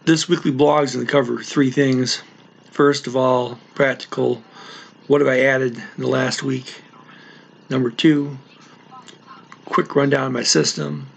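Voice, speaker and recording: A man, a language teacher, giving a casual weekly channel update in a halting way, clearing his throat and using many fillers. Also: medium pitch, level tone.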